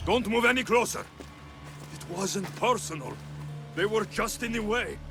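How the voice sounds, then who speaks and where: An actor playing a terse, protective, hot-tempered mercenary in a video game. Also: male voice, sits high, unhurried, fairly bright tone. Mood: tense, defensive. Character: gruff male Greek mercenary hero, protective, hot-tempered. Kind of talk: dramatic video game acting. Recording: dramatic music behind the voice.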